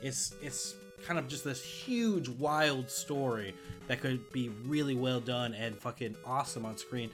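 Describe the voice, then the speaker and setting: A man's voice: medium pitch, a little slow, music in the background. A man co-hosting a pop culture podcast in an informal, agreeable, chatty way. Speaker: podcast co-host.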